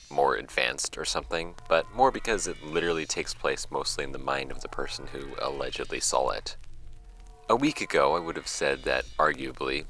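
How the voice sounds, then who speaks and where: A wry podcast host, super deep creaky voice, casual and introspective, audio drama. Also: male voice, sharp tone, full character voice, poor audio. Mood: musing.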